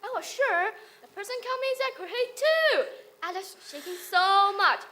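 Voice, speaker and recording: Very high-pitched bright female narrator. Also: lively intonation, moderate pace. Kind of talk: dramatic readers theater narration.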